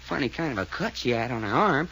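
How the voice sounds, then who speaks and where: An actor playing a blunt, slangy sidekick with a folksy drawl in an old-time radio serial. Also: male voice, mid-range voice, sing-song, fast talker, pushed and bright, slightly rough, full character voice, poor audio. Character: folksy rough-spoken Texan sidekick named Doc. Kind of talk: 1940s radio acting.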